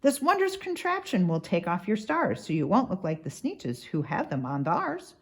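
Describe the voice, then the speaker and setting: A smooth-talking storybook huckster, acted out by a woman. He is sly and persuasive, pitching his wares with lively swings of pitch. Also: moderate pace. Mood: sly selling. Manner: persuasive sales patter.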